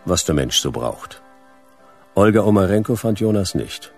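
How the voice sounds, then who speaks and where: A world-weary private eye with an extremely deep voice full of creak. He narrates a radio drama tersely and deadpan, over music. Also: moderate pace. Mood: dry, brooding. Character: laconic, world-weary male private detective. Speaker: detective and narrator.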